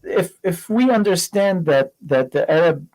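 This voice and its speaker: A man hosting a conversational interview, rephrasing haltingly as he goes. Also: sits high, lively intonation, darkish tone. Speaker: interviewer, host.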